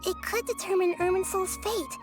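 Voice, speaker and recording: A woman playing a small, thoughtful goddess in a video game, speaking in a measured, earnest, childlike way. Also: extremely high pitch, steady tone, over music. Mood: grave, determined.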